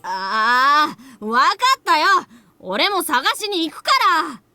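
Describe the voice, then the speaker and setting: A woman voicing an irritable, rough-talking young boy in a Japanese visual novel, blunt and grumbling. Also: high-pitched, very animated, normal speed, sharp tone, dry recording, exaggerated voice. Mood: grudging.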